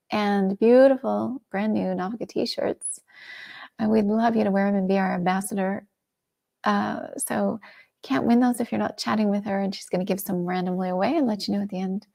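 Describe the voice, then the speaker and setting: A woman's voice: medium pitch, creaky. Chatty woman hosting a shopping livestream. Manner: chatty, enthusiastic, drops into soft asides. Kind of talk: casual product presentation.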